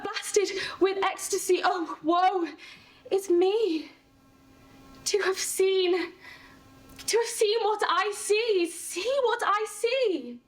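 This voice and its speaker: Dejected young noblewoman, acted by a woman, Shakespearean stage lament, slow, halting, distant microphone. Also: extremely high pitch, slight background noise, full character voice. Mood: sorrowful. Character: dejected, wretched young noblewoman mourning a fallen mind. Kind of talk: Shakespearean monologue performance.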